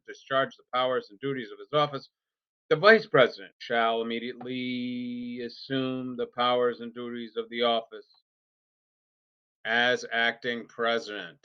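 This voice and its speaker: A man reading a formal legal document aloud, unrehearsed, in deliberate, halting phrases with emphatic starts, on a lo-fi recording. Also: mid-range voice, pushed and bright, clear, resonant tone. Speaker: reader. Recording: dull lo-fi recording.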